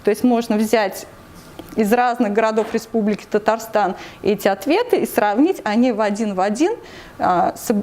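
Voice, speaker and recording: Woman, from Tatarstan, interview guest, earnest, hesitant fillers, higher-pitched voice. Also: a little mellow, a little hiss or hum. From Tatarstan, Russia. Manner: hesitant, many fillers and restarts. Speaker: interview guest, anti-corruption committee member. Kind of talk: earnest explanatory interview answers.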